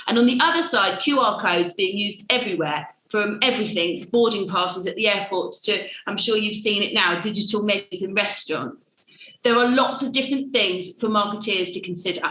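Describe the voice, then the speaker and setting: A woman's voice: medium pitch, pushed and bright, roomy sound, poor audio. A woman hosting a panel webinar, introducing guests with upbeat enthusiasm. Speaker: webinar host.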